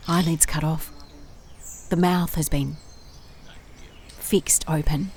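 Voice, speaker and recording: Female private eye, low creaky voice, clipped and brooding, determined, audio drama case notes, background noise. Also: a little mellow, full character voice. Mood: grim, uneasy.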